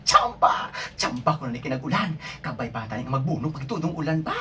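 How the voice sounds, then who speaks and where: A woman's voice: extremely low pitch, animated, brisk, sharp tone, heavily acted. A woman playing a scheming young student thief in an amateur radio drama, melodramatic and emphatic, with a Visayan Filipino accent. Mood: gleeful, scheming. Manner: melodramatic, emphatic, repeating phrases. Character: gleeful, scheming young student thief who covets others' things. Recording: distant mic, noisy background.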